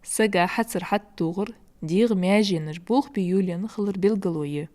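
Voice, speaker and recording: A woman's voice: mid-range voice, fairly quick, darkish tone, lightly acted. A woman voicing a patient grown woman who explains things in a dubbed family cartoon. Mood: explaining. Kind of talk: cartoon dubbing.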